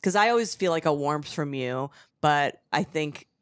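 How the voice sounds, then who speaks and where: Low-voiced woman joking around. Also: lively intonation, moderate pace, clean tone, no room sound, studio quality. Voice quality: low-pitched voice. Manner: playful, often laughing. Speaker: podcast co-host. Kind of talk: casual comedic chat.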